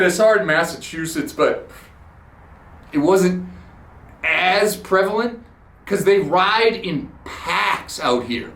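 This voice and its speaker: A man's voice: high voice, a bit bright. A man hosting a podcast, telling a blunt, profane, sarcastic story in a ranting monologue. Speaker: podcast host. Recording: roomy echoey room.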